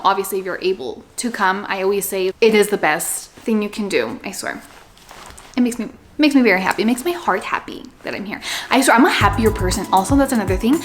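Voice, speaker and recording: Brief: young woman, casual at-home vlog, rambling bubbly chatter, faint music under. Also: medium pitch. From Los Angeles, USA. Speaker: vlogger. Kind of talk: casual to-camera chat. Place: her home, indoors. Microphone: phone or camera on a stand.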